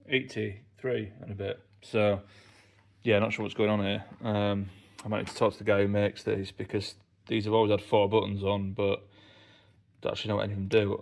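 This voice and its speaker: A man's voice: on the low side, gravelly, darkish tone. A man giving a casual, understated vlog debrief in a somewhat hesitant way. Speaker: vlogger, amateur racing driver.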